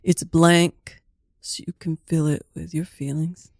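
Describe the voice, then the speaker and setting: A woman plays along in an improvised comedy scene. Her delivery is conversational, halting and understated, recorded dry with hardly any room sound. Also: deep voice, flat delivery, darkish tone, no room sound. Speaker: guest improv student.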